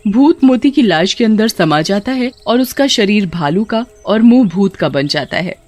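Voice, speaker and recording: Casting: storyteller for animated horror tales, mid-range voice, scripted dramatic narration. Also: medium pitch, normal speed.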